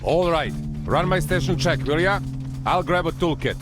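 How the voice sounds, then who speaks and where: An actor playing a brisk, dry-humoured army lieutenant giving clipped orders to his tank crew in a military game. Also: fairly high pitch, lightly acted. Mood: brisk, wry. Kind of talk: naturalistic military game dialogue. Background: loud music, vehicle sounds.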